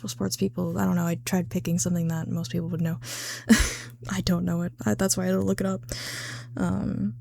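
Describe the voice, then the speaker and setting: A woman's voice: fairly low pitch, little pitch movement, brisk, vocal fry, dark tone, no room sound. A tired woman chatting casually to her viewers in a partly whispered ASMR style, rambling in a self-amused way with loose, sweary language. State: tired, late at night. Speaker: ASMR creator.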